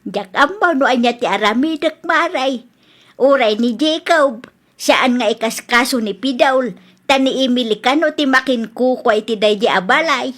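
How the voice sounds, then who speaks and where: Lady doing a shrill bright ilocano mother-in-law, proud, scolds everybody, radio drama. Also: normal speed. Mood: complaining. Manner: scolding, indignant. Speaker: mother-in-law in a family quarrel.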